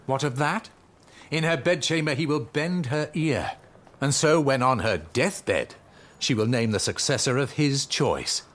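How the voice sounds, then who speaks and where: An actor playing a zealous, vengeful Elizabethan courtier, theatrical and rhetorical in a period radio drama. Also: male voice, mid-range voice, animated, a little slow, fairly bright tone. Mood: urgent, insistent.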